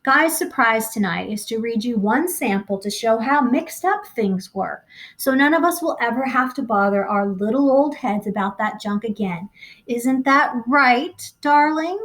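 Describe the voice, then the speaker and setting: A brittle, sugary wife coaxing with forced brightness, as a woman reader puts her on. The voice sits higher than the reader's own and swings lively up and down. A storybook voice, sweet on top and tense beneath. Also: a little slow. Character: brittle, falsely cheerful wife Mildred. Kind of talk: audiobook character voice.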